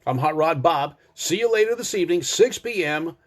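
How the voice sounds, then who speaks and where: A man's voice: fairly high pitch, animated, normal speed. A middle-aged or older American man hosting a car enthusiast show, chatting casually and directly to his listeners in a folksy, conversational way. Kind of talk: casual live show chat with trivia.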